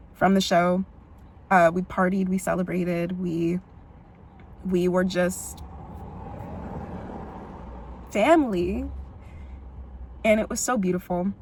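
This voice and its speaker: A young woman with a big, expressive voice talks through her own story in a casual vlog. She speaks with feeling, often saying "like" and breaking into laughs. Her pitch sits in an ordinary middle range. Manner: expressive and emotional, frequent "like" fillers and laughs. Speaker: vlogger and musical theatre performer.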